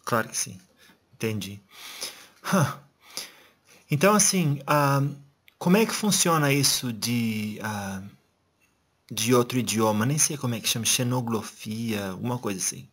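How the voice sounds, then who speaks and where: A man's voice: medium pitch, a little slow, long pauses, fairly bright tone, husky. A man interviewing a medium, asking loose, chatty questions full of fillers and restarts. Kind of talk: casual spoken questions.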